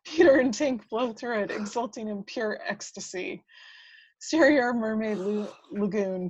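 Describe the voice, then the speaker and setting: A woman reads out screenplay action in a medium-pitched voice. She serves as the narrator of an amateur script reading, delivering scene descriptions and stage directions. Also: no echo, muffled recording.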